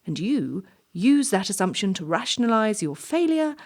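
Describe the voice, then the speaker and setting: Cool, exacting woman interviewer played by an actress, animated intonation. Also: medium pitch. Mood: challenging. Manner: clipped, probing, condescending, deliberate. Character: cool, exacting female job interviewer, clinical and pedantic. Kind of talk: stage play dialogue.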